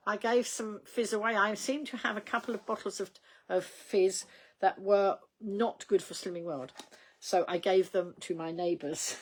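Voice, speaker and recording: An older woman hosting a casual livestream, rambling in a chatty way with many ums and small laughs, her voice going slightly croaky. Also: lowish voice. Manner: chatty, rambling, reads out viewer comments, frequent ums and laughs. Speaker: livestream host.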